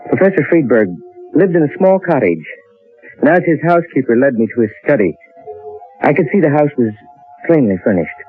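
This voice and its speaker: A man's voice: mid-range voice, close to an everyday voice. An actor playing a nervous, earnest writer in his thirties in old-time radio drama style. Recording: lo-fi narrowband old radio recording.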